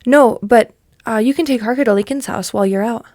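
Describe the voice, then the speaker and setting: A woman playing an earnest, remorseful high school girl with a halting, confessional manner in an audio drama. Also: darkish tone, no room sound, clean studio sound, close to an everyday voice. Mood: insistent. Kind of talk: podcast audio drama acting. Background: music.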